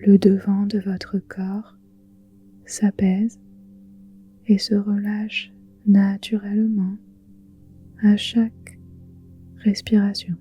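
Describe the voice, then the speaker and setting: Soft whispery woman, very slow meditation guide. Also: mid-range voice, level tone, breathy edge. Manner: soothing, unhurried, gentle pauses. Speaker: yoga nidra guide. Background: soft music with singing bowls.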